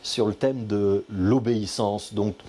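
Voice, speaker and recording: A man hosting a TV studio interview, asking quick, conversational questions. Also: on the low side, fairly even intonation, dark tone. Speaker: TV show host.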